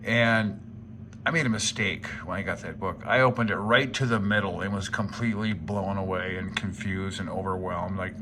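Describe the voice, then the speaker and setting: A man's voice: low-pitched, fairly even intonation, moderate pace, noisy background. A man hosting a casual hobbyist vlog, explaining things off the cuff in a rambling, folksy, self-deprecating and unsure way. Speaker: amateur radio hobbyist video host.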